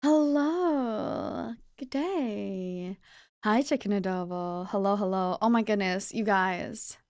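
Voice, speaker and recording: Unhurried, bubbly woman livestreamer. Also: medium pitch, a little mellow, no room sound, studio quality. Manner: bubbly, chatty, greets viewers by name. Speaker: livestreamer, virtual streamer host. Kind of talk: casual livestream chat with viewers.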